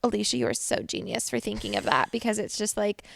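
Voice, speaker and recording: A woman's voice: medium pitch, lively intonation, fast talker, creaky. A young woman co-hosting a podcast, chatting casually and laughing often while lying on a couch at home. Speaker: podcast co-host. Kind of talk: casual chat.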